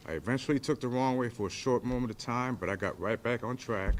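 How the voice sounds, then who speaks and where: A man's voice: mid-range voice, quick pace, slight background noise. A man in his fifties, born in Jamaica, giving short, plainspoken, heartfelt remarks at a press event after receiving a pardon. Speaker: pardon recipient.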